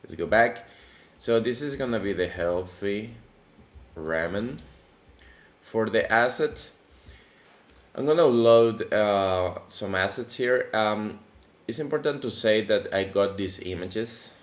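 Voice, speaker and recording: A man hosting a casual coding tutorial, his voice deepened by allergies, talking in a relaxed, chatty way with many fillers and restarts. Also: takes it slowly. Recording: echoey room with faint background noise.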